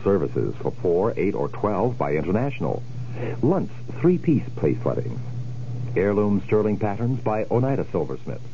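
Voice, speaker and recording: Deep, animated male radio ad announcer. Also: normal speed, gravelly. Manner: polished, salesy. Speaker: commercial announcer. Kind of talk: old radio advertisement read. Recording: dull old radio broadcast with noise.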